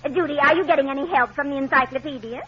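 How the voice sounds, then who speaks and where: A woman's voice: high-pitched, very fast, crowd noise, close to an everyday voice. A woman playing a prim, patient aunt in a 1940s radio comedy, speaking properly with an American accent. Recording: muffled old-time radio recording.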